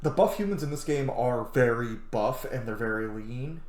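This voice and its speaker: A man's voice: mid-range voice, normal speed, a little mellow, reverberant. A man giving casual, rambling, opinionated gameplay commentary as a streamer, swearing freely. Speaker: streamer playing a video game.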